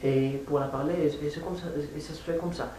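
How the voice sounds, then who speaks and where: A man with a non-native accent talks casually and unscripted as a language-learning vlogger, haltingly repeating words as he searches for phrasing. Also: on the high side, steady tone, mellow. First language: not French or English. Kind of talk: casual unscripted vlog talk. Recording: echoey room, distant mic, faint hiss.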